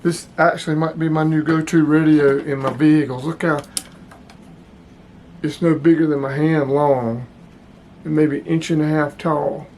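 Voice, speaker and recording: A man's voice: a little high, little pitch movement, normal speed, darkish tone, a little hiss or hum. A man giving a casual, plain-spoken product review as the host, talking informally to his viewers. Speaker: channel host reviewing radio gear.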